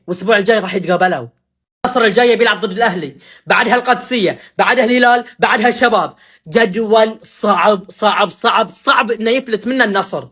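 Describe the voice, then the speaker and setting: A man calmly analysing a football result and his team's difficult schedule. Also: high voice. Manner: reasoned, explanatory. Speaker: football commentator. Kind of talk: match analysis.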